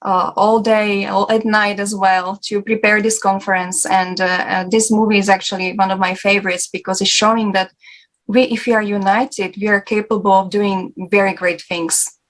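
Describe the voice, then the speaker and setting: A woman co-hosting a discussion and addressing viewers, unscripted, with hesitant, pausing phrasing. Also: medium pitch, level tone, a bit bright, poor audio. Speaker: co-host.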